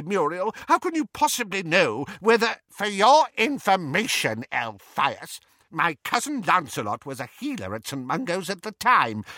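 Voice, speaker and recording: A man voicing a spiteful, gossiping old witch with a shrill, cackling manner. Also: pushed and bright, dry recording, clean studio sound, exaggerated voice. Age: older. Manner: cackling, needling, high-pitched.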